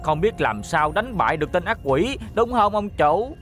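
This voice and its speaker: A male cartoon henchman with a high, animated voice. He is a fawning, exaggerated sidekick to a villain, heard over faint music. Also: moderate pace. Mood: eager, fawning. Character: fat male villain's henchman, eager but doubtful sidekick. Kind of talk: dubbed children's cartoon acting.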